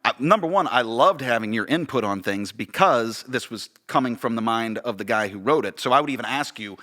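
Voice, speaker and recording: A man co-hosting a podcast in casual conversation, agreeable and quick to affirm his partner as he explains his points. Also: mid-range voice, expressive, pushed and bright. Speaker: podcast co-host, theater and audio director.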